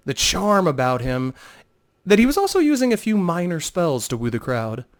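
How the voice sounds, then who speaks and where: Man narrating a fantasy tale. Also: on the high side. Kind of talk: dramatic narration of a fantasy story.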